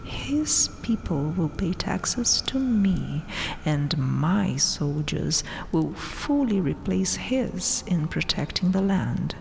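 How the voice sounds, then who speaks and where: A woman voicing a haughty, gloating vampire queen in a breathy, taunting whisper for an ASMR roleplay. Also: on the low side, sing-song, full character voice. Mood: menacing, commanding. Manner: taunting, mock-sweet, haughty, drawn-out delivery. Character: domineering, gloating vampire queen, regal conqueror. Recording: long cavernous reverb, faint hiss. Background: dark tomb ambience.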